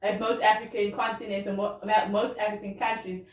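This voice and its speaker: A woman's voice: medium pitch, little pitch movement, a little fast. A young woman from Congo, a Swahili speaker, hosting a casual youth radio chat and laughing often. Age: young adult. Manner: bubbly, laughs often, teaching phrases. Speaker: radio host. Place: radio studio. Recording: echoey room, distant mic.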